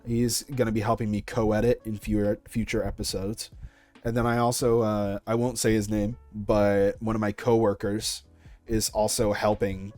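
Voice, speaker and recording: A man's voice: lowish voice, flat delivery, moderate pace, a little mellow, dry recording, faint music behind. A young man answering interview questions about his project in a casual, chatty and informal way. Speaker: series creator being interviewed.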